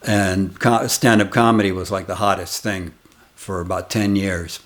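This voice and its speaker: A man's voice: low voice, level tone. A man in his sixties, a comedian hosting his channel and casually telling a rambling, reminiscing story of his early days. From United States. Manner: rambling, reminiscing, unhurried anecdotes.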